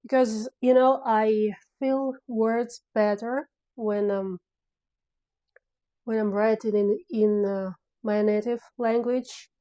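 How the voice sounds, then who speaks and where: Russian-accented woman vlogging, talks slow, lots of ums and restarts, soft mellow tone. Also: a little high, steady tone. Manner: hesitant, many fillers and restarts, searching for words. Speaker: filmmaker vlogger. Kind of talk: casual spoken diary.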